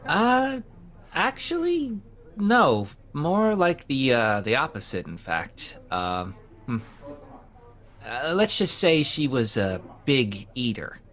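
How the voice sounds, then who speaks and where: A man's voice: mid-range voice, very animated, slow pace, fairly bright tone. A man playing an awkward, hapless young man in an audio drama, talking in a hesitant, sheepish way full of fillers. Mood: sheepish, reluctant. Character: awkward, hapless young man who keeps getting fired. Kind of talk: conversational audio drama acting.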